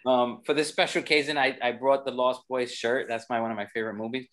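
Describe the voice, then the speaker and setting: A man's voice: medium pitch, level tone, quick pace, fairly bright tone. A man in his thirties hosting a casual video call from home, rambling and laughing often. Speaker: host. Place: home room indoors. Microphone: webcam on video call.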